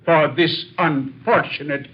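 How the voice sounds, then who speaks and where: An actor playing a solemn, stern judge in an old radio drama, formal and declamatory. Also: male voice, on the high side, takes it slowly, heavy background noise. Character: solemn, stern court judge delivering a ruling. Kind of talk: 1940s radio drama acting. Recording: muffled old radio recording.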